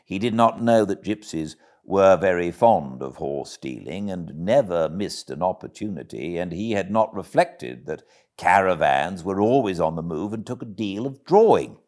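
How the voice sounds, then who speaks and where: British male audiobook narrator, deep-leaning voice, animated classic storytelling. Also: moderate pace. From Britain.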